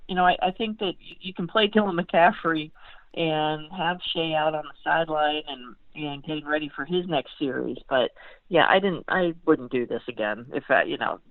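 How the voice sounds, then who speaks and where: A woman's voice: low voice, dry recording. Woman sportswriter on a tinny phone line. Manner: halting, repeats words. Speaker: sports beat writer guest. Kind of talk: conversational football analysis. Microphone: phone call.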